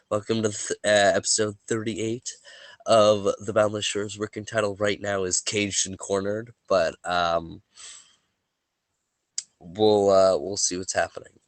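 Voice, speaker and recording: A man's voice: fairly low pitch, no room sound. A man running a tabletop game, sniffly from allergies and a little worn in the voice, chatting loosely and then reading a recap of the story so far. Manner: laid-back, joking, stumbles and restarts. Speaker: game master. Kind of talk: casual chat and recap narration for a tabletop game.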